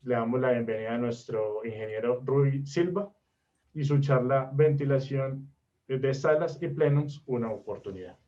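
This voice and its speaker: A man's voice: mid-range voice, moderate pace, dark tone, distant mic, muffled recording. A man hosting a webinar, politely introducing the guest speaker's career. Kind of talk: formal introduction.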